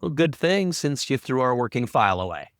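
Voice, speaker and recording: A man's voice: medium pitch, sing-song, brisk, dry recording. A man co-hosting a casual podcast discussion as its audio producer, with dry, teasing banter.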